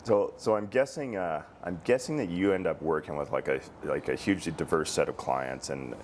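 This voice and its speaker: A man hosting a casual interview, speaking haltingly and repeating words as he phrases his questions. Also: very deep voice, a little mellow, a little hiss or hum. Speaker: interview host, girls hockey director.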